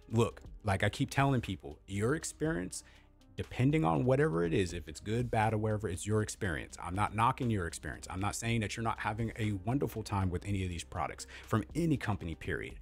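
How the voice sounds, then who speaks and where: A man with a very low, deep voice talks straight into a close studio mic in his home streaming room. He rants bluntly and defensively, circling back to his points. Soft music plays faintly behind him. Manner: blunt, repetitive, defensive, swears casually. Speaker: tech content creator. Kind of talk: opinionated product review rant. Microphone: studio mic on boom arm, close.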